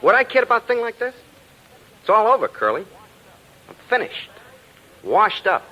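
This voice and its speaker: Melodramatic sidekick, high and animated. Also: male voice, moderate pace, lo-fi sound, full character voice. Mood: despairing. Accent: American. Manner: melodramatic, whining complaint. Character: aggrieved, scheming male sidekick, self-pitying and needy. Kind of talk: 1950s radio comedy acting.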